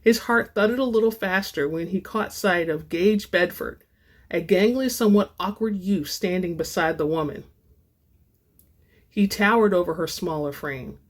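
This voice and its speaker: A woman hosting a dramatic book reading, moving between informal, expressive chat and narration. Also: lowish voice, moderate pace. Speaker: host and reader of book samples.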